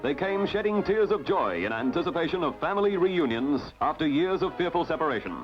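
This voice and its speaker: High, animated newsreel narrator, male. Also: a little fast, highs cut off. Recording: band-limited old newsreel soundtrack. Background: music.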